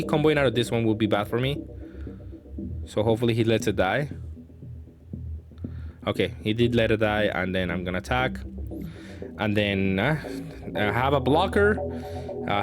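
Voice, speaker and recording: A man with a fairly low voice commentates casually on his own gameplay. He reasons aloud as he goes, hesitating and working through choices. Music is clearly audible underneath his speech. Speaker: card game player and channel host. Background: background music.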